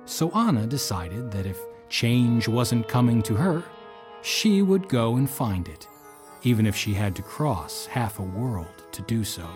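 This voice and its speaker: A man as a measured manservant narrator. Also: mid-range voice, over music, lightly acted. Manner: measured, even storytelling. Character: male aide and manservant narrating his tale. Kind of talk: audio drama narration.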